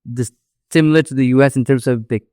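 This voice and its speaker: A young Malaysian host talks in a chatty, casual podcast style with lots of filler "like". The voice sits at a middling pitch and is recorded close and dry. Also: fairly even intonation, fast talker, dark tone, no echo. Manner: chatty, frequent 'like'. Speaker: podcast host and international student.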